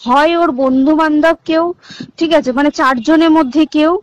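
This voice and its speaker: A woman's voice: high-pitched, steady tone, moderate pace, no room sound. A young woman with a West Bengal Bengali accent talking emphatically in an informal recorded phone call, repeating phrases and mixing in English words. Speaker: hospital insider recounting events on a phone call. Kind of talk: informal private phone conversation.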